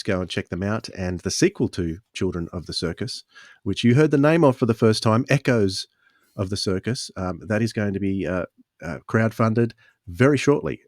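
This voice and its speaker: A man's voice: lowish voice, a little mellow, dry recording, studio quality. A man co-hosting a podcast and interviewing guests in a casual, conversational style.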